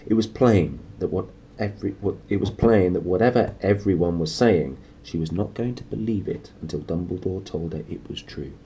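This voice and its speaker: A man reading a children's story aloud in an expressive narrating style, as a storyteller would. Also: low-pitched, normal speed, a little hiss or hum, low-quality recording. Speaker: storybook reader.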